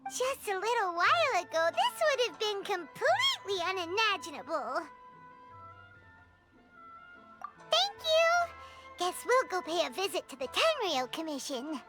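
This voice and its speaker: A woman voicing a tiny, chirpy fairy girl companion in a video game, bubbly and excitable, who talks about herself by name. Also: extremely high pitch, normal speed. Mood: curious. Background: game music.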